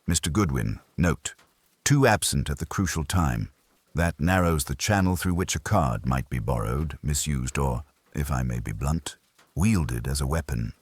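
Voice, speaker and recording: A man acts out an imperious older detective for a mystery audiobook. The voice is extremely deep and husky, with formal, pompous phrasing. He is measured and stern, with emphatic swings in pitch. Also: expressive, dry recording, exaggerated voice. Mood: stern, deliberate.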